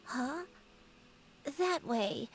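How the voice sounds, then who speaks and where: A woman playing a frail, gentle old grandmother with failing memory in a video game, speaking haltingly in a breathy voice. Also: high voice, expressive, a little hiss or hum, full character voice. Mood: puzzled, wistful. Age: older.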